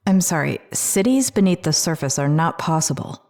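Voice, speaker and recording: An actress playing a doubting but curious scientist in an audio drama, questioning politely. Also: female voice, deep voice, expressive, moderate pace, a little mellow. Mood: doubtful. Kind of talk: dramatic audio fiction acting.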